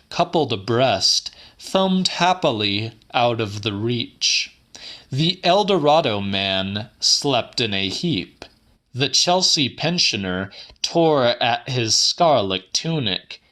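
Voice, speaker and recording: Male audiobook narrator, slow pace, bright forceful voice, theatrical literary reading. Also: mid-range voice. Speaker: audiobook reader.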